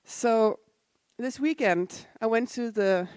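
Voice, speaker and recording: A woman's voice: fairly high pitch, takes it slowly, a little mellow, clear, resonant tone, dry recording. Chatty Danish-born woman speaker, dry sound. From Denmark. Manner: chatty, self-deprecating, audience asides. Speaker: conference speaker. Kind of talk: casual conference talk. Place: conference hall.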